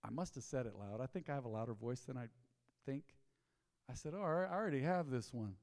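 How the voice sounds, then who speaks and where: A man, preaching a sermon in a folksy storytelling way, dropping to low asides and rising to loud emphatic bursts. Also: expressive, a little fast, long reverb tail. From Texas. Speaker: pastor. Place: church sanctuary.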